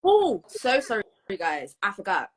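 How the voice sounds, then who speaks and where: A woman's voice: medium pitch, wildly swooping pitch, measured pace, crowd noise. A British woman in her twenties chatting casually as a podcast co-host, emphatic and slangy, dropping in Spanish phrases. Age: 20s. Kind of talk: casual chat.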